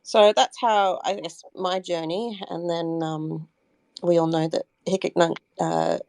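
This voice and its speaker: Aussie woman interview guest, bit of gravel in her voice, chatting casually, super dry close sound. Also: lowish voice, steady tone, unhurried, a little mellow, dry recording. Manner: hesitant, thinking aloud with frequent "I guess". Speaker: interview guest, community member and artist.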